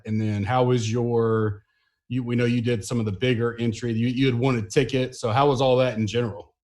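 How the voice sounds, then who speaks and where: A man hosts a laid-back sports podcast, talking at an ordinary mid pitch. His delivery is loose and rambling, full of fillers and restarts. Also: darkish tone. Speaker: podcast host. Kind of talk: casual podcast chat.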